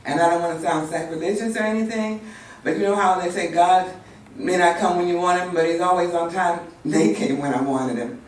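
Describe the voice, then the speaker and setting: A woman giving an unscripted memorial tribute in a halting way, with many pauses and restarts. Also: lowish voice, fairly even intonation. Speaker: friend speaking at a memorial tribute. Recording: echoey hall from far off.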